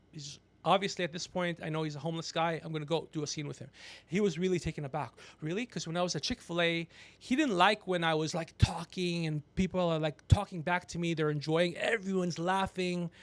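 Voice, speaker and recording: A gregarious man hosting a podcast, telling rambling comedic stories with animated, teasing asides. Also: fairly high pitch, brisk, no echo. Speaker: podcast host. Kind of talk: rambling comedic storytelling on a livestream.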